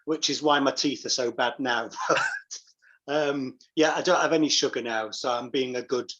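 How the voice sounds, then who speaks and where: A man's voice: medium pitch, normal speed, bright, forceful tone. A man chatting casually as an interview guest, affable with some dry joking. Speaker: guest, pantomime dame performer. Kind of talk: casual chat. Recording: slightly dull, lo-fi call audio.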